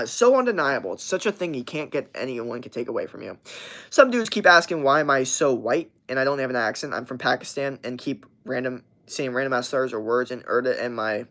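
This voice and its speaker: A man's voice: medium pitch, fairly bright tone. A man in his twenties, hosting a livestream, telling a story in a profane, emphatic and rambling way. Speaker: livestream host. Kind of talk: casual story time on a livestream.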